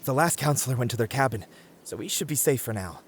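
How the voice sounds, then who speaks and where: A man's voice: medium pitch, lively intonation, fast, a little hiss or hum, close to an everyday voice. A man playing a cautious, sensible young camper in an ASMR roleplay drama, friendly and reasoning, wry and easily exasperated. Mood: friendly, hushed. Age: young adult.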